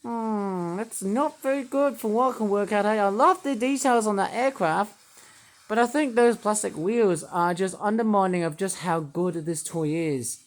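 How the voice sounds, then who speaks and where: A man reviewing toys in a rambling, playful vlog, his voice not fully well and breaking into sudden excited outbursts. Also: high-pitched, a little slow. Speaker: toy reviewer. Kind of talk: casual toy review vlog.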